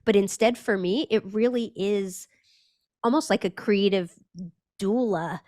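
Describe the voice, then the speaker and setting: A woman's voice: mid-range voice, unhurried, a little mellow, no room sound, clean studio sound. A woman from Northern California, a brand strategist talking as a podcast guest, thoughtful and searching, with frequent restarts. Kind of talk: reflective conversational interview.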